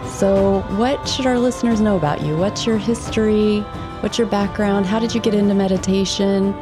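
A woman's voice: medium pitch, moderate pace, music in the background. A woman podcast co-host asking encouraging, curious questions about her guest's background. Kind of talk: interviewing in a podcast chat.

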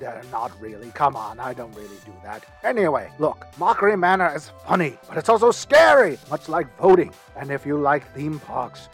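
A man's voice: a little high, slightly rough. A man playing a chatty, eccentric podcast host, rambling through a comedic promo with hesitant, mock-earnest asides. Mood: teasing. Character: Lyman Keys, chatty goofy eccentric male podcast host. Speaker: substitute podcast announcer.

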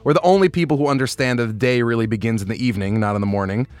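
A man hosting an interview podcast, speaking articulately with enthusiastic, lively emphasis. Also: mid-range voice, very fast. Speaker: podcast host.

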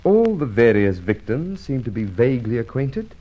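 An actor playing a polite, dogged, suspicious police inspector in an old radio drama, courteous and probing. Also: male voice, medium pitch, very animated, phone-quality sound. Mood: politely probing. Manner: courteous, probing, measured phrasing.

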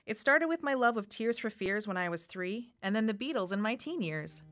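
A woman's voice: medium pitch, lively intonation, normal speed. Woman with a Wisconsin accent narrating. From Wisconsin, USA. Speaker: radio story contributor. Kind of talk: scripted first-person narration. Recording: faint music behind voice.

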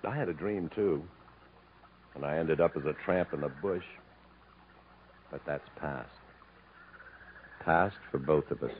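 An actor playing a world-weary, guarded drifter haunted by his past, in measured and reflective 1950s radio drama style. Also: rumbling low. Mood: weary, evasive. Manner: measured, literate, reflective, halting when pressed on feelings. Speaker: actor and first-person narrator in a radio play. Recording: muffled, noisy 1950s radio recording.

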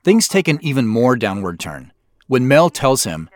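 A man giving scripted behind-the-scenes commentary as a show's creator, explaining in a measured way. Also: medium pitch, sing-song.

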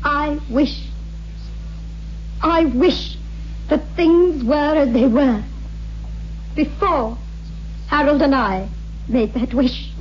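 A dull vintage radio recording with prominent music carries a grieving widow in a horror play. Her voice sits fairly high, and she tells her haunted story with earnest, lonely anguish. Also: drawn-out words, pauses often. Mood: desperate. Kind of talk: 1960s radio horror drama acting.

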